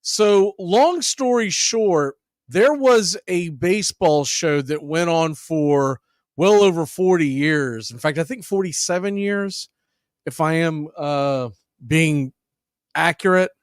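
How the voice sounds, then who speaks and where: Higher-pitched male host, dry close sound. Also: slow, no room sound. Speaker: host of a baseball radio show. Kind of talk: casual monologue to listeners about baseball history.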